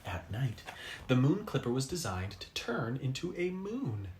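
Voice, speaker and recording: A man reading a children's story aloud expressively, with dramatic pauses for effect. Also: mid-range voice, very animated, darkish tone, slight background noise. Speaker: storybook reader.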